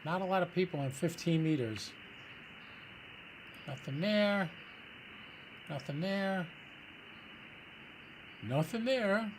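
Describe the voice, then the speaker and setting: A man's voice: high voice, lively intonation, slow, long pauses. A man giving casual running commentary as he operates a ham radio, thinking aloud through each step. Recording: loud radio hiss and static noise. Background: ham radio hiss and chatter.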